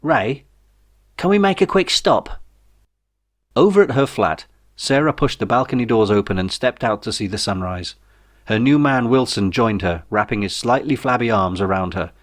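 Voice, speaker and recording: A man's voice: on the low side, animated, moderate pace, dry recording, studio quality. A man reading a comic novel aloud as its narrator, with a wry, theatrical delivery. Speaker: author reading his own novel. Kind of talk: comic scripted audiobook narration.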